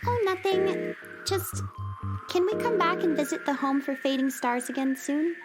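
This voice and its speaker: Mary Farfisa, a woman playing a bright girl space traveler. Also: high-pitched, moderate pace, lightly acted. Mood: hopeful. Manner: earnest, soft-hearted. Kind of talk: children's radio drama. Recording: music playing behind.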